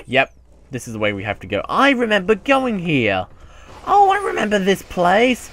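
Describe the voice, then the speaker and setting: A man's voice: high voice, huge pitch swings, moderate pace, creaky, a bit bright, a little hiss or hum. A man giving casual let's play commentary as a gaming YouTuber, rambling and self-mocking, often muttering to himself.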